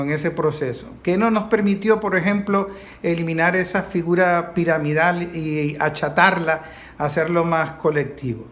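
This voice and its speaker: A man with a Venezuelan accent moderating a discussion and putting probing questions as an interviewer. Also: fairly high pitch.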